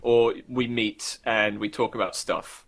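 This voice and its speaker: A man's voice: lowish voice, level tone, a little slow, a bit bright. A man co-hosting a casual, comedic internet talk show, irreverent and sweary, often slipping into acted-out imaginary dialogue.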